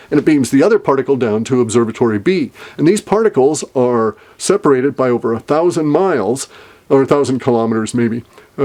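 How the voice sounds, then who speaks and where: Older guy talking solo, rambly, lots of uhs and restarts, kinda guessing out loud. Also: mid-range voice. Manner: rambling, speculative, many fillers and restarts. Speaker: podcast host. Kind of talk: casual solo monologue.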